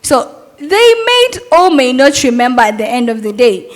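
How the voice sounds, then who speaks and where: A woman's voice: fairly high pitch, lively intonation, normal speed. A young adult woman, a Montessori teacher, giving an earnest, persuasive talk at a summit and asking rhetorical questions.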